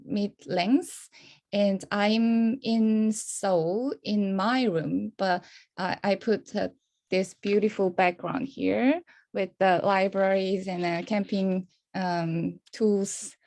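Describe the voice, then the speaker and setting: A woman's voice: mid-range voice, darkish tone. A woman with a Korean accent, whose first language is Korean, chairing a video call webinar from her room, talking hesitantly with many fillers. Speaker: discussion chair.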